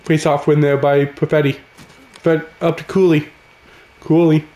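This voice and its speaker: A man, raspy from a cold, giving casual play-by-play commentary over a hockey video game. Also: fairly high pitch, normal speed, reverberant, low-quality recording. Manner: excitable, calls the action as it happens. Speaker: gaming channel host.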